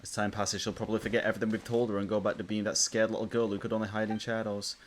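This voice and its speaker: A man's voice: lowish voice, flat delivery, very fast, a little hiss or hum. A man giving casual, informal, sweary live reactions to a video game as a streamer, with game music behind him.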